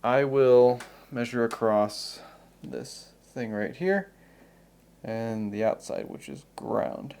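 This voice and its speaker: A man's voice: mid-range voice, slow pace, creaky, a little mellow, clear, resonant tone. Casual American man thinking aloud. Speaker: host of a repair video channel. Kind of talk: unscripted hands-on technical demonstration.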